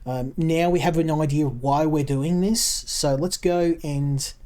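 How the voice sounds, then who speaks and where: Broad Australian man with a highish voice. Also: measured pace, mellow. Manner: rambling, repeats words while thinking aloud. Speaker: game streamer. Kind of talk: casual gameplay commentary.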